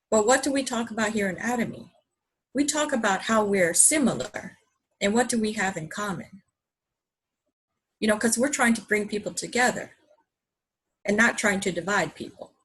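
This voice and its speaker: A woman's voice: medium pitch, husky, low-quality recording. A woman from Hawaii giving an earnest, enthusiastic motivational presentation, often asking her listeners rhetorical questions. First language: English. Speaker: business presenter.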